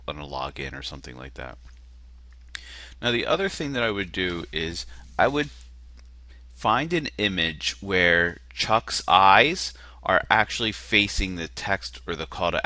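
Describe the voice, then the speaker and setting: Nasal low-voiced man talking through a page. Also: sharp tone, no echo. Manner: self-deprecating, hesitant with many fillers. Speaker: marketer critiquing a landing page. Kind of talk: casual screen-share walkthrough.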